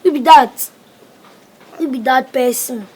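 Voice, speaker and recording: A woman's voice: sits high, normal speed, darkish tone, slightly rough. An actress playing a cheeky young Nigerian boy who speaks Pidgin.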